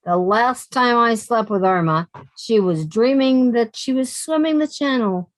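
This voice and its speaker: Radio comedy: a woman doing a sharp, exasperated roommate, bright-pitched and animated. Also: dry recording. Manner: brisk, fed up, sarcastic. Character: sensible, exasperated young working woman. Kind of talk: old-time radio comedy acting.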